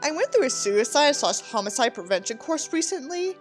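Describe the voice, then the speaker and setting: A woman's voice: high voice, sing-song, normal speed, faint music behind. A woman doing a sarcastic dramatic reading, with theatrical, mocking delivery and sweary commentary. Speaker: host reading a book aloud with asides.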